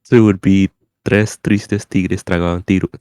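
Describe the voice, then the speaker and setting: A man's voice: low voice, little pitch movement, normal speed, a little mellow, no echo, studio quality. A man co-hosting a podcast, chatting casually and joking about learning languages. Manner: playful, jokey, easygoing. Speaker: podcast co-host. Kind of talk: casual chat.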